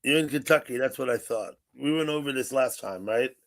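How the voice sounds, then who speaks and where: A man's voice: medium pitch, fairly quick, fairly bright tone. A man in his sixties hosting a casual livestream call-in chat, rambling and repeating himself. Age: 60s. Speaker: livestream host.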